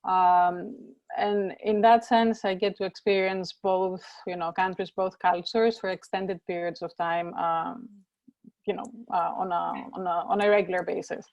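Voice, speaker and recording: A woman's voice: lowish voice, little pitch movement, measured pace, darkish tone, no echo, lo-fi sound. A middle-aged woman from Greece, speaking thoughtfully as an interview guest over a video call, with frequent fillers and repeated words.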